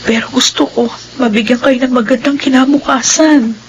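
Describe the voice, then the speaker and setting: A woman's voice: high voice, steady tone, moderate pace, lo-fi sound, background noise. A torn, loving mother. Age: middle-aged. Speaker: mother of grown children. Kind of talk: radio drama acting.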